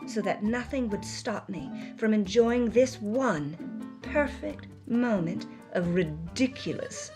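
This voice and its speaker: A woman playing a flamboyant, excitable actress reading from a journal, with florid, emphatic and melodramatic delivery. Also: medium pitch, slow, over music. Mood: euphoric, manic.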